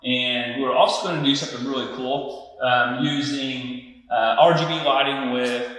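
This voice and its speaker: A man's voice: mid-range voice, steady tone, normal speed, a bit bright. A middle-aged man giving a casual, folksy walkthrough as an installer on a job site. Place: large unfinished house interior, hard floors. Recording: echoey empty rooms with long reverb.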